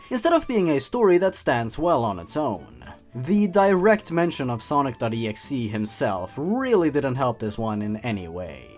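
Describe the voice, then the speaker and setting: A man hosting a scripted review show, commenting in a theatrical, sardonic way. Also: fairly high pitch. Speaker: host of a story-reading review channel. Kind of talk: scripted commentary with dramatic reading.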